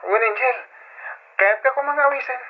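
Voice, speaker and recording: An actor playing a smooth, polite young man courting a woman in a radio drama. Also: very high voice, normal speed. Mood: coaxing. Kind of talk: Filipino radio drama acting. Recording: dull, lo-fi old radio drama recording.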